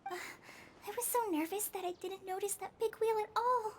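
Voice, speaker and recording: A woman's voice: very high-pitched, moderate pace, a little hiss or hum. A woman voicing a sweet, innocent little girl in a video game, with a childlike, earnest, wondering manner. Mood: nervous. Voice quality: childlike, bright-eyed. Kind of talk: video game cutscene acting. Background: game music.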